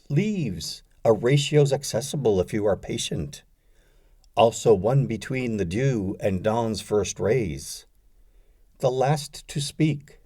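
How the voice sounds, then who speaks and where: A man reading poetry aloud as an audiobook, with deliberate, dramatic phrasing and frequent pauses. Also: mid-range voice, warm tone, breathy edge. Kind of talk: expressive poetry recitation.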